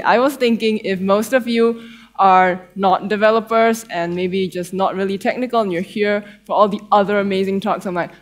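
A young woman with a Malaysian accent giving a tech conference talk on stage in a conversational, playful way with dry humour. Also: mid-range voice. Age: young adult. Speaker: conference speaker, developer advocate. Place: theatre stage before an audience.

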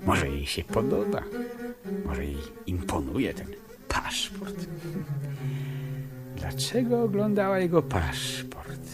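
An actor playing a reflective, wry amateur detective in a 1970s radio play, musing and telling the story in measured phrases. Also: male voice, a little high, wildly swooping pitch, loud music behind the voice, heavily acted. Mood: brooding, musing. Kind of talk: 1970s radio play acting with first-person narration.

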